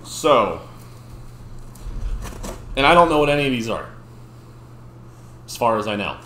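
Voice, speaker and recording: A man's voice: medium pitch, very animated, a little slow, fairly bright tone. A man in his thirties hosting a casual unboxing video, chatty and laughing often. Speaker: channel host. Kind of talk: casual chat while unboxing mail. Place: dim home room with gaming chairs. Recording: echoey room with faint noise.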